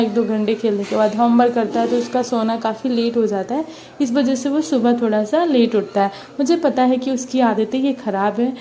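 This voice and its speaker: A woman's voice: fairly high pitch, warm tone, slight background noise. A young woman vlogging about her study routine, earnest and encouraging, with a sore throat from a cold. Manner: earnest, encouraging, mixes English words into Hindi. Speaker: study vlogger, mother preparing for police exam. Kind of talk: casual motivational vlog talk.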